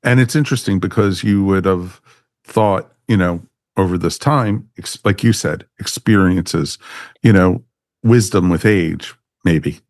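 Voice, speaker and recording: A man's voice: deep voice, unhurried, no echo, clean studio sound. A man hosting and moderating a casual review chat among panelists. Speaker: podcast host moderating panel.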